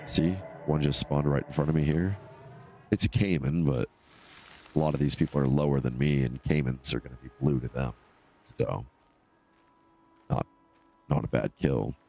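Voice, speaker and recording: A man with a stuffed-up, nasal voice giving casual, low-key, rambling solo commentary as he live streams a game. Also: moderate pace, pauses often, dark tone, faint music behind. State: congested from allergies.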